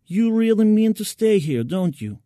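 A man acts out a tense, earnest female crew member from a science fiction audiobook. The pitch is lifted and the phrasing is formal and measured. She coaxes carefully, in a very dry recording. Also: big pitch swings, mellow, no room sound. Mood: sad, resigned. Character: tense, earnest female crewmate pleading with a renegade.

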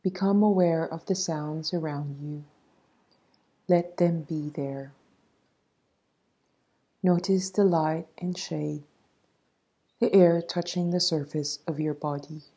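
A woman leading a guided meditation in a soft, breathy voice, soothing and measured as she gives instructions. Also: sits low, steady tone.

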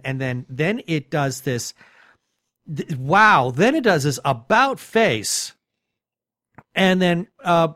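A man with a slightly high voice chats on a podcast, animated and emphatic, stumbling through restarts as he makes his points. The sound is dry and close to the mic. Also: no room sound. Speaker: podcast co-host. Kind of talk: casual chat.

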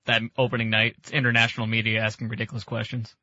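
A man's voice: lowish voice, completely flat delivery, rapid-fire, bright, forceful tone, no echo, muffled recording. A man co-hosting a radio show, chiming in with casual conversational remarks. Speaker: radio show co-host or producer.

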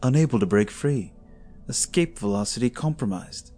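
Guy doing a ship's computer reading out warnings, flat and clipped. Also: mid-range voice, normal speed, soft background music, natural voice. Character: flat-voiced starship computer.

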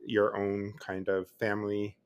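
A podcast co-host from Pennsylvania chatting casually, direct and relaxed, with many fillers. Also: low-pitched, a little slow, smooth.

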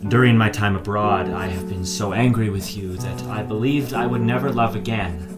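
A man playing a lovesick, moody young gentleman in a period audio play, with formal old-fashioned diction. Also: on the low side, moderate pace, natural voice. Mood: earnest, sorrowful. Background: plucked guitar music.

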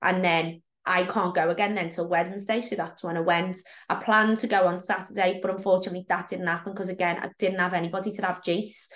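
A woman coaching her listeners in a loose, frank podcast chat, her voice a little croaky from a cold. She talks at an ordinary pitch, filling her sentences with "like" and "um", and gives blunt advice. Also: level tone, brisk, few pauses, fairly bright tone. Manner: chatty, frank, lots of "like" and "um". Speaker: fitness coach and podcast co-host.

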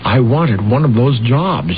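A man's voice: medium pitch, expressive, unhurried, lightly acted. Man acting a grandiose, aggrieved assassin, noisy old recording. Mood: grandiose, insistent. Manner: self-important, bitter, insistent. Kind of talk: old-time radio drama acting. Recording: muffled, noisy old radio drama recording.

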